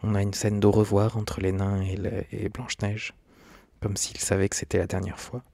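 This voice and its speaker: A man's voice: on the low side, little pitch movement, moderate pace, creaky, dark tone, faint music behind. A man giving scripted, documentary-style narration as a video essayist.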